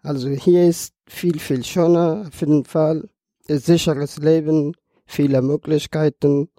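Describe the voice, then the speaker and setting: A man's voice: on the high side, steady tone, unhurried, warm tone, no echo. A man in his early twenties from Afghanistan, a native Dari speaker with an Afghan accent, telling his own story in a podcast conversation with non-native phrasing. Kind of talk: personal account in a podcast conversation.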